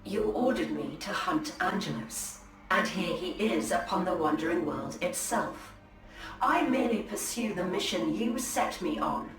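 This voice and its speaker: A woman's voice: on the low side, animated, unhurried. A woman playing a resolute, wary alien seer in a video game, speaking formally and solemnly. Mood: coolly defiant. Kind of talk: dramatic video game cutscene acting. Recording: echoey and distant, music behind.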